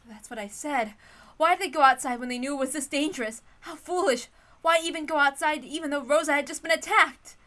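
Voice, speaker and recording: Woman playing an indignant, high-voiced scolding wife. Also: brisk, pushed and bright. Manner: indignant, emphatic, exasperated. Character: anxious, scolding upper-class wife, easily flustered.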